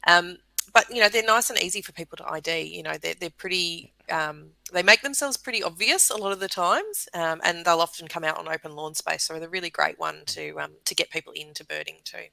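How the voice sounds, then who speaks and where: A woman's voice: on the low side, a little fast, gravelly, bright, forceful tone, no echo, poor audio. An Australian woman, a bird conservation guest on a radio show, explaining with frequent fillers and repeated words. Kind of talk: casual radio interview.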